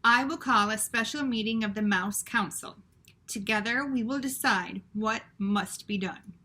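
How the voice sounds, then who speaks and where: A woman voicing a despairing, blustering father mouse who declaims loudly. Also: fairly high pitch, measured pace. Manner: loud, raised, declaiming.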